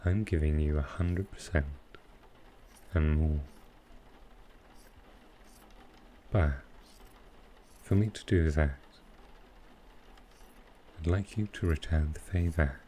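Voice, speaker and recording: A man playing a smooth, flirtatious supervillain in an intimate ASMR roleplay, teasing and unhurried. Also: rumbling low, slight background noise. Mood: smug, coaxing. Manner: teasing, unhurried, softly suggestive. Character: smooth, flirtatious male supervillain courting his tailor.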